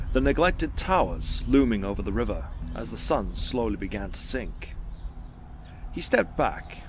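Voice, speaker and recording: A man's voice: fairly low pitch, a little hiss or hum. A man narrating an audio drama in a scripted, dramatic storytelling style. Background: music.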